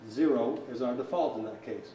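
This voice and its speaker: A distant, echoey recording of a man with a middle-pitched voice, caught in a large hall with faint noise. He gives a technical tutorial in a plain, methodical manner, explaining equipment step by step. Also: normal speed, warm tone. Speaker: technician instructor. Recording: roomy echo, distant mic, faint noise.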